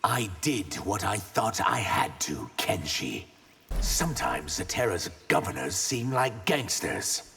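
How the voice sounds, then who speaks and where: A man's voice: lowish voice, very animated, slow pace, gravelly, full character voice. An actor, a man, playing an earnest, idealistic reptilian emissary in a video game, speaking sincerely. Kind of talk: video game fight banter. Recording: loud music behind.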